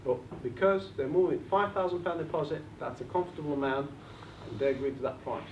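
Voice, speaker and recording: A man's voice: fairly high pitch, brisk. A man from Bulgaria with a Bulgarian accent, presenting at a live seminar and speaking straight to the audience with questions. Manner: animated, addresses the audience directly with questions. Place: meeting room with an audience. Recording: echoey room, distant mic, muffled, faint hiss.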